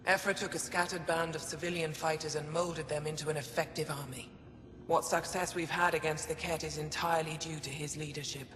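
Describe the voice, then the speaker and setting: An actor playing a weary, steadfast alien resistance commander in a video game, speaking in a measured, formal, earnest way. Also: male voice, a little high, level tone, sharp tone. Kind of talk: dramatic game dialogue. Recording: reverberant with background ambient noise.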